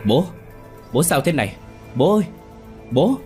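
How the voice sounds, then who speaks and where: A man voicing a grown son wailing in grief over his dying father, crying out in high sobbing cries. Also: sing-song, unhurried, darkish tone, faint music behind. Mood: panicked.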